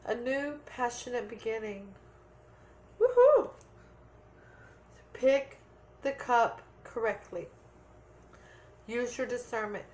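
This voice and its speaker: A woman's voice: a little high, sing-song, takes it slowly, pauses often, darkish tone. A woman giving a tarot reading to viewers in an earnest, repetitive way, checking in with "okay?" and losing her voice a little. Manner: earnest, repeats points with "okay?". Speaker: tarot reader.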